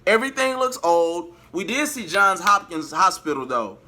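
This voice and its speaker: A male vlogger recounts things in a casual, slangy way. His voice sits a little high and has a bright, punchy edge. He talks straight to his viewers and acts out bits of dialogue as he goes. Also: animated, normal speed. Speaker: vlogger and amateur singer.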